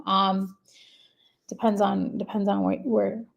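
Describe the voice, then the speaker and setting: A woman's voice: medium pitch, little pitch movement, slow, gravelly, dull audio. A woman co-hosting a live webinar, opening it in a casual, friendly way while waiting for viewers to arrive. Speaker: webinar co-host.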